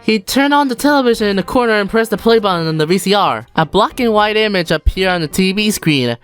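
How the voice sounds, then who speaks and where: A woman narrating a children's storybook in a lively, expressive read-aloud manner. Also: medium pitch, quick pace, smooth, faint music behind. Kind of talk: storybook reading.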